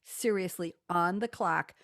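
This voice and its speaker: A woman's voice: fairly low pitch, a little slow, a bit bright, dry recording, studio quality. A woman radio and TV host interviewing guests over a video call, talking in an effusive, enthusiastic, chatty way.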